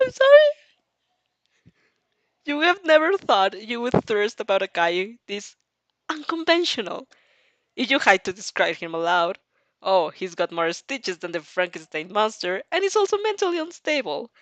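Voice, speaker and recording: Animated woman reading a story aloud. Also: on the high side, a little slow, long pauses, dry recording. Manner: animated, breaks off to react and restart sentences. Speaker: fan fiction reader and reactor. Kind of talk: expressive audio reading of fan fiction with casual asides.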